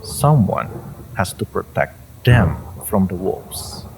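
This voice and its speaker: A man playing an ancient, possessive hive-mind entity in an audio drama, condescending and theatrical, with amused menace and a low, creaky voice. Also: big pitch swings, noisy background, exaggerated voice. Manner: theatrical, condescending, halting with drawn-out menace and chuckles. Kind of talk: scripted audio roleplay addressed to the listener.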